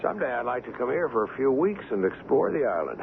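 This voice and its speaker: An actor playing a courteous gentleman doctor in a 1970s radio drama, speaking politely in measured phrases. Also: medium pitch, sing-song, vocal fry, noisy background. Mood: polite, curious. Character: courteous, gentlemanly doctor, a curious ship's passenger. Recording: tinny old radio broadcast.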